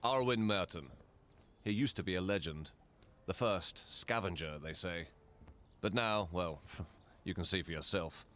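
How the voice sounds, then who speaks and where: A man's voice: low voice, measured pace, a bit bright. An actor voicing a wry male lord in a fantasy video game, with drawling emphasis. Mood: dismissive. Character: wry, unhurried male lord greeting adventurers.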